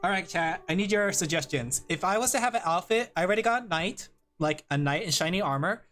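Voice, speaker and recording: A man's voice: high-pitched, expressive, fast talker, a bit bright. A man streaming as a vtuber, chatting casually with his viewers while drawing, rambling, laughing often and putting himself down.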